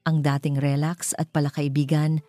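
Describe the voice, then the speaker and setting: Low-pitched woman narrator, Filipino accent. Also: little pitch movement, normal speed, mellow, faint music behind. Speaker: story narrator. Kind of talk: scripted storytelling narration of a romance.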